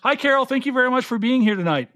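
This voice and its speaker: A man's voice: very high voice, lively intonation, racing, bright, forceful tone. A man from Florida hosting a casual podcast livestream, joking and self-deprecating as he chats with guests. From Florida, USA. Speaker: podcast host.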